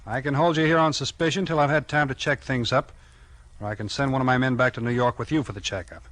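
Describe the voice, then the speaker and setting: A man with an American accent acting a steady, tough small-town police chief in a 1940s radio crime drama, plain-spoken and authoritative. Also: mid-range voice, quick pace, lightly acted. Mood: firm, businesslike.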